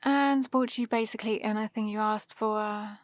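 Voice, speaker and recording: A British woman playing a guarded female supervillain who is awkwardly soft on her hero, in a hesitant, defensive ASMR roleplay style. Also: mid-range voice, steady tone, normal speed, no room sound, studio quality, close to an everyday voice. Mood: grudging, exasperated. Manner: hesitant, defensive, softly exasperated.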